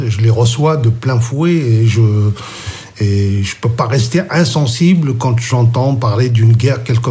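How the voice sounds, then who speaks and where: A man's voice: medium pitch, mellow, echoey room, a little hiss or hum. A man in his sixties from Algeria, first language Kabyle, answering interview questions in a hesitant way, with many fillers and restarts. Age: 60s. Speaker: interviewee, the host's father. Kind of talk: casual interview.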